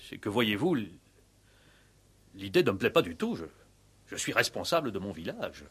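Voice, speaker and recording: A man's voice: fairly low pitch, sing-song, moderate pace, a bit bright, slightly rough, full character voice. An actor playing a worried village mayor in a radio play, flustered and self-important, stammering and repeating his words. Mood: anxious, urgent. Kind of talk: 1960s French radio play acting.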